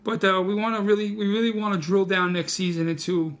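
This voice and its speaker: A man's voice: sits high, fairly even intonation, a little fast, fairly bright tone. A man with a Brooklyn accent, co-hosting a casual martial arts podcast, loose and self-deprecating with his jokes. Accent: New York. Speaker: co-host, martial arts teacher.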